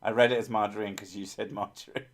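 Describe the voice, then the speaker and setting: A man with a low, soothing voice chats loosely through a livestream, rambling and poking fun at himself. He laughs often and drifts from one thought to the next. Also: little pitch movement, fast talker, gravelly. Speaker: livestream host. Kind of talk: casual livestream chat.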